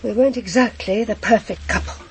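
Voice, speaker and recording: A woman acting a proper middle-aged housewife, newly widowed, in a radio crime drama. Her fairly high voice is halting and flustered, circling back over her own words in bewilderment. There is faint noise behind her. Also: close to an everyday voice, rough recording. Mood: dazed, rambling. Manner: halting, flustered, repeats herself.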